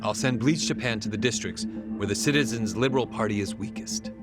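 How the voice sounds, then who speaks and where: An actor playing a young, ambitious male politician who talks smoothly and makes veiled threats. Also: on the low side, fairly quick, over loud music, natural voice. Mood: persuasive. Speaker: governor seeking party chair.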